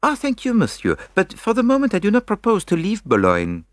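Fussy little french-accent detective for an audiobook, confident, dramatic, voice jumps all over, very dry sound. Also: male voice, high voice, wildly swooping pitch, no echo, pitched above the performer's own voice. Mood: polite, firm. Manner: theatrical, halting, peppered with "eh".

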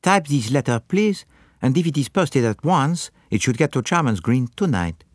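A fussy, precise older detective, as a male narrator puts him on, with a polite, measured manner and soft murmurs. His lines sound as though they are heard from a step back from the mic, in a dry room. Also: mid-range voice, very animated, dry recording, voice pushed up. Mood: courteous.

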